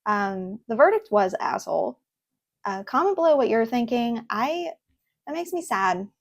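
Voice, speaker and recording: A woman's voice: on the high side, big pitch swings, no echo, studio quality. Chatty young woman reacting to posts. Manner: chatty and earnest, with fillers and pauses. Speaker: host. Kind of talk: casual reaction video, reading posts aloud. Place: home room, at her desk.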